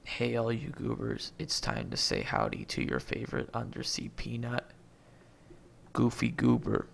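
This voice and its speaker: A man's voice: mid-range voice, very animated, unhurried, gravelly, slight background noise. A man reading a movie script aloud as an amateur, announcing names and stage directions and stumbling over words.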